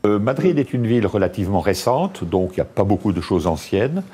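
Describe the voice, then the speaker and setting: Male panel guest, deepest voice, warm tone, plain explanations. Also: big pitch swings, brisk, slight background noise. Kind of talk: studio panel discussion.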